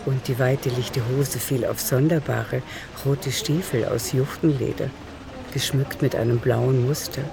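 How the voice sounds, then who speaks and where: A woman narrating a literary radio play in the third person, with measured composure. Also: rumbling low, steady tone, vocal fry, a crowd in the background, exaggerated voice. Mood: composed.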